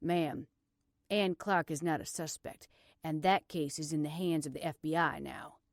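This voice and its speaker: A woman's voice: low-pitched, unhurried, no echo, studio quality. A woman narrator voicing a firm, curt, dismissive male police officer in an audiobook. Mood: stern, dismissive.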